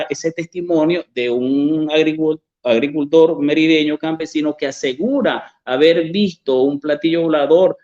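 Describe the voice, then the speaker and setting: A man's voice: fairly high pitch, a little mellow. Hesitant Venezuelan man, muffled sound. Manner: hesitant, many fillers and restarts. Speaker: author and journalist, interview guest. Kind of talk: conversational interview answers.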